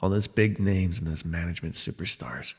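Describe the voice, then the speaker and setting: A man playing a captured telepath supervillain, a sardonic and worldly former mentor, in an immersive audio roleplay, with a husky, gravelly voice and theatrical, wry delivery. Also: deep voice, brisk. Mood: smug, needling. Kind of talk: immersive ASMR roleplay voice acting. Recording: long reverb, faint music behind.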